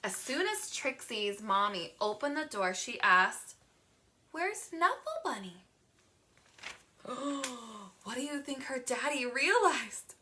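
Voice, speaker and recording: High-pitched, animated woman reading to children. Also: unhurried, a little hiss or hum. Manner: expressive, asks the listener questions, gasps, draws words out. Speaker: children's read-aloud teacher. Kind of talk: animated picture-book read-aloud for young children.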